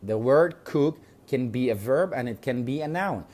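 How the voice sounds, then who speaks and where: Kurdish-accented male English teacher, mellow voice, patient and repetitive, spells words aloud. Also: mid-range voice, animated, moderate pace. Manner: patient, repeats words and spells them out letter by letter; checks in with frequent "okay?". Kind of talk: online language lesson.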